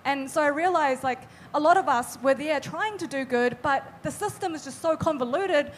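Young woman from New Zealand, high-pitched, earnest live-panel guest; faint background hum. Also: normal speed. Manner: chatty, earnest, many fillers and restarts. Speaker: artist guest. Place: live event stage.

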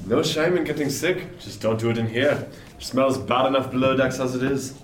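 An actor playing a blunt, jovial sailor in a period radio drama, with a bantering manner. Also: male voice, mid-range voice, normal speed, echoey room, distant mic, a little hiss or hum, close to an everyday voice. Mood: gruff. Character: blunt, gruffly jovial male sailor in 1717.

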